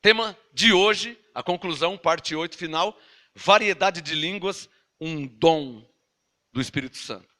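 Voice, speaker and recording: A man's voice: on the high side, measured pace, bright, forceful tone. A Brazilian man with a Brazilian Portuguese accent preaching a sermon, emphatic and scolding, throwing rhetorical questions at his listeners. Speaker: pastor.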